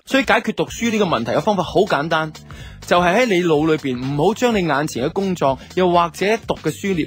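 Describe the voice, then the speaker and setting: Man acting a musing young Hong Kong storyteller, lively intonation. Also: on the high side. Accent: Hong Kong Cantonese. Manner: colloquial, reflective storytelling. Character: laid-back young man narrating his love life, musing. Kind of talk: first-person radio drama narration. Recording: music under the voice.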